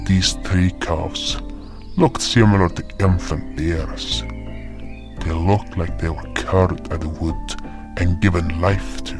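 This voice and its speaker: Man playing solemn hunter, slow dramatic narration, deep voice, first-person fantasy tale. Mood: wondering. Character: solemn male fantasy hunter recounting his journey.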